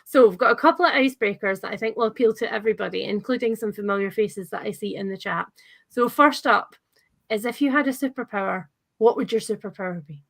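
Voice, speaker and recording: A woman hosting a casual live book chat, talking in an enthusiastic, chatty way with animated reactions. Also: medium pitch, quick pace, gravelly. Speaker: host of a book channel livestream.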